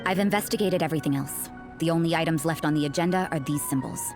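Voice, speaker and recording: A woman playing a stern, cynical young nun in a video game, guarded and suspicious, with a cool, sardonic, deliberate delivery. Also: sits low, music in the background. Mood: grudgingly satisfied. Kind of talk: English video game character dialogue.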